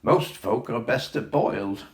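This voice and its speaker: A man reading a storybook, voicing a practical, friendly farmer's wife with brisk, plain-spoken country talk in a roomy space. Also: mid-range voice, lively intonation, distant mic, close to an everyday voice. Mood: brisk, helpful. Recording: roomy echo on the voice.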